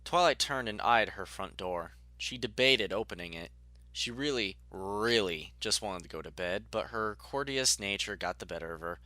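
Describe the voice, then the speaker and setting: Male narrator, scripted story reading, lowish pitch, bright crisp tone, dry close recording. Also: expressive, normal speed, dry recording.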